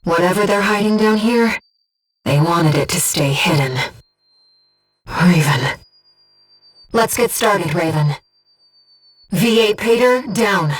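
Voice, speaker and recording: A woman playing a gentle, disembodied female mission operator in a video game, earnest and composed, with clearly enunciated tactical callouts. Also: lowish voice, animated, slow pace, long pauses, distant mic, soft background music. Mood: soft, earnest. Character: gentle disembodied female voice guiding a mercenary pilot.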